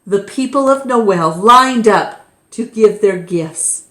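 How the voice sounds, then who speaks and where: A woman reading a children's story aloud expressively, with deliberate, dramatic phrasing. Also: mid-range voice, expressive, fairly bright tone. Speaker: storybook reader, storytime host. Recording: echoey room.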